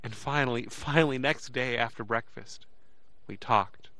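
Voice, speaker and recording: An actor playing an earnest, emotional young man, narrating an audio drama in the first person with deliberate, dramatic pauses. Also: mid-range voice, pushed and bright, no room sound, poor audio. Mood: earnest, relieved. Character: earnest, emotional young man telling his escape story.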